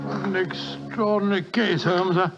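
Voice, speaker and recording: A bluff doctor, earnest and given to stammering, his voice pitched high. It comes across a dull old radio recording. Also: steady tone, normal speed, loud music behind the voice. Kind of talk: 1940s radio acting.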